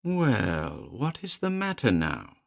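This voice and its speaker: A man voicing a small, malign male demon familiar who speaks in a shrill, mocking, leering way. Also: wildly swooping pitch, slow, vocal fry, exaggerated voice. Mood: gloating.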